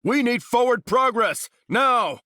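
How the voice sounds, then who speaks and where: Steadfast superhero soldier, widely varied pitch. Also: male voice, expressive, slow, pushed and bright, no echo, clean studio sound, exaggerated voice. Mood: resolute, commanding. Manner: earnest, commanding. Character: steadfast, noble male soldier superhero leading his team. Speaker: video game hero. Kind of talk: video game voice lines.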